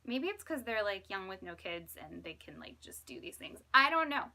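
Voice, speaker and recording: Bright-voiced woman vlogger in her thirties. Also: medium pitch, sing-song, normal speed. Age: 30s. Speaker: vlogger and fifth grade teacher. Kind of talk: casual chatty vlog to camera.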